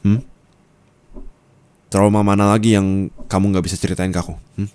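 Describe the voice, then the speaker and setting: A young man speaking an ASMR roleplay monologue as a disappointed boyfriend, low and intimate, scolding and at times rising to anger. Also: level tone, moderate pace, darkish tone, smooth.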